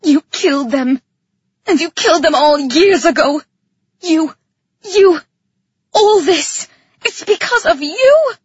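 Woman acting anguished, husky, defiant young heroine. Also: high voice, animated, normal speed, no echo, highs cut off. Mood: hysterical accusation. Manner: emotional, accusing, intense.

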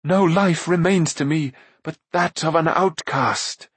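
A man's voice: mid-range voice, little pitch movement, measured pace, slightly rough, dry recording. Man acting out a haughty, anguished fallen sorceress queen. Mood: despairing. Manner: dramatic, anguished, imperious.

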